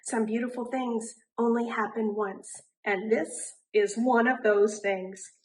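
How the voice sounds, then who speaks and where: A woman's voice: on the high side, steady tone, takes it slowly, darkish tone, distant mic, a little hiss or hum, poor audio. A woman voicing a kindly, proud father in a children's storybook, affectionate and reassuring. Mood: gentle.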